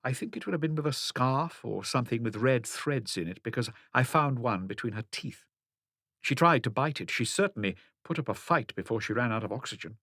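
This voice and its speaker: A man's voice: mid-range voice, animated, fairly quick, sharp tone, dry recording, clean studio sound. A man voicing a matter-of-fact pathologist in an audiobook, explaining findings in a clinical yet engaged way. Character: matter-of-fact male pathologist giving post-mortem findings. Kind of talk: audiobook character dialogue.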